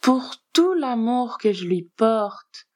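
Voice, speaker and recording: A sly storybook schemer, a man of polite and coy manners, read by a woman. The delivery is measured, with dry teasing under the courtesy. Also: a little high, lively intonation, a little mellow, voice pushed up. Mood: dryly sincere. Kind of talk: storybook character reading.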